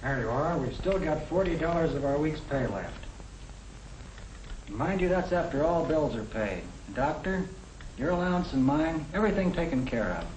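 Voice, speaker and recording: An actor playing a practical young American husband, plain-spoken and reasoning, in the style of a 1950 educational film. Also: male voice, on the high side, animated, normal speed, a little mellow, natural voice. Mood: matter-of-fact. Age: young adult. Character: young professional husband, practical, matter-of-fact, budget-minded. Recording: echoey, noisy 1950 film soundtrack.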